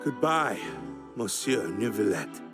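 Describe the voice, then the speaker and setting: A man's voice: fairly low pitch, very animated, slow. An actor playing a desperate, aggrieved condemned man in a video game, pleading his innocence theatrically and bitterly. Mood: resigned. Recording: music behind the voice.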